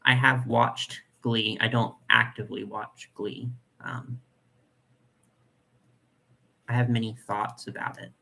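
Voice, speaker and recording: A young man with a nasal voice hosting a casual live chat, reading comments and talking in a chatty, soft way with lots of fillers. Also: medium pitch, flat delivery, slow pace, creaky, long pauses. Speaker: doll collector channel host.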